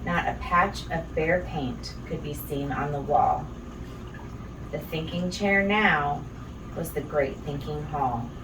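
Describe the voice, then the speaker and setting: Woman storyteller, animated and unhurried rhythmic picture-book read, roomy recording with faint noise. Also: low voice, distant mic. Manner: animated, rhythmic delivery with asides to children. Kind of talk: expressive bedtime story read-aloud.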